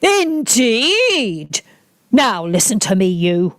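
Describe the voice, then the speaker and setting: A woman's voice: on the high side, very animated, drawn-out words, no room sound, full character voice. A woman playing a blustering, bullying male squire and castle captain in a radio play, pompous and imperious. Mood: pompous, scornful. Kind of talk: theatrical radio play acting.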